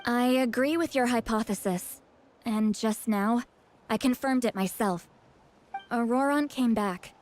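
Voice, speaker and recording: Woman playing a young tribe leader in a game, highish voice, frank, friendly, earnest. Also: a little slow, a little mellow, close to an everyday voice. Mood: serious, explaining. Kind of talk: video game voice acting.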